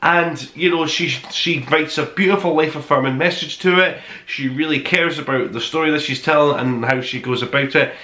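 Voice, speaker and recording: A man's voice: a little high, moderate pace, few pauses, bright, forceful tone, reverberant. A man presenting a review podcast as its host, talking conversationally and emphatically to his listeners with enthusiasm. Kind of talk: conversational top-five review monologue to listeners.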